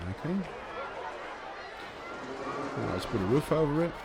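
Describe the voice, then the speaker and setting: A man's voice: on the high side, expressive, measured pace, gravelly, over loud music, a loud crowd. A Dutch man with a Dutch accent, chatting casually through live gameplay commentary and answering viewer questions as he builds. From Netherlands. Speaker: streamer.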